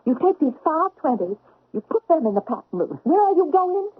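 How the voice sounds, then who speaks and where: Brisk, high-voiced frontier widow postmistress. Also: female voice, very animated. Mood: brisk, commanding. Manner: commanding, folksy, exclamatory. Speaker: actress in a radio drama. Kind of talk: old-time radio drama acting. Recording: muffled old radio drama recording.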